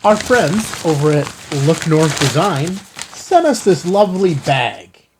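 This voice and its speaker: A man's voice: a little high, very animated, takes it slowly, background noise. A man hosting a casual unboxing video, talking to viewers in an enthusiastic, folksy way with self-kidding asides. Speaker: host of a ham radio channel. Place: studio.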